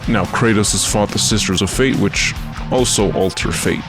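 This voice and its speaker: A man with a low-pitched voice delivers scripted explainer narration. His phrasing is informal and colloquial, like an online video essay. Music plays clearly behind him throughout. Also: normal speed.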